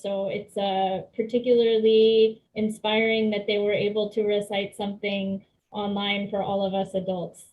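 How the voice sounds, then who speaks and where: A woman's voice: fairly high pitch, highs cut off. A woman with a raised voice hosts a virtual meeting, thanking speakers and introducing guests graciously. She talks over a video call. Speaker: event host.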